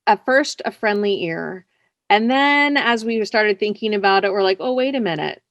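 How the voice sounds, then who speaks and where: A woman's voice: medium pitch, expressive, normal speed, a bit bright, no echo, lo-fi sound. A woman being interviewed as a writer, chatting casually in an informal, playful, slightly coy way. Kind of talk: casual chat.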